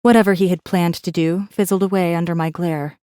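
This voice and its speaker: A woman voices a defiant rebel heroine telling her own story in an audiobook. Her voice sits low and warm, with a controlled, introspective delivery. The sound is dry and close, and she stays guarded and wry. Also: steady tone, fairly quick, clear, resonant tone, no echo, close to an everyday voice. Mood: wary, defiant. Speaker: audiobook narrator. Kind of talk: first-person scripted narration, audiobook.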